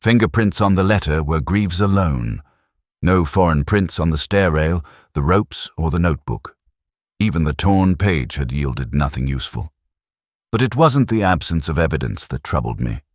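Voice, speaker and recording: Man acting as a brooding detective narrating a mystery, super low voice, measured but swoops around a lot, dry close sound. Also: animated, dry recording. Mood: pensive, melancholy. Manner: measured, brooding, literary delivery. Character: melancholy, reflective older male police detective recounting a case. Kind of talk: scripted first-person mystery narration.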